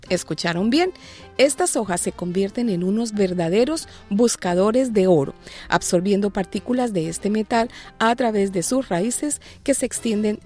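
A woman's voice: medium pitch, a little mellow. A woman hosting a light news segment, reading scripted stories with playful, joking asides. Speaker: news show host. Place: lives in Australia.

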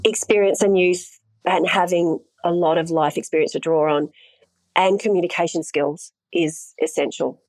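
A woman's voice: on the low side, fairly even intonation, moderate pace, a little mellow, low-quality recording. A middle-aged woman answering interview questions as an intimacy coordinator, explaining things thoughtfully with frequent fillers.

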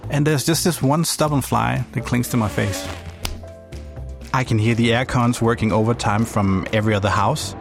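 A man's voice: medium pitch, fairly even intonation, moderate pace, creaky, over music. Danish man narrating a reflective podcast. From Denmark. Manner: reflective, gently playful storytelling. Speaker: podcast host.

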